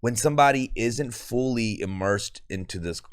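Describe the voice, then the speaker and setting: A man's voice: mid-range voice, moderate pace, smooth, dry recording, clean studio sound. A man hosting a podcast, asking questions in an easygoing, curious way, cursing casually and laughing along with his guest. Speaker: podcast host. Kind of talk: casual interview chat.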